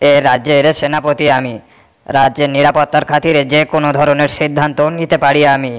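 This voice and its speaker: An actor playing an arrogant, threatening army commander in a cartoon, talking in a smug and menacing way. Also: male voice, on the high side, level tone, fairly quick, smooth, lightly acted. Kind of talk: cartoon voice acting.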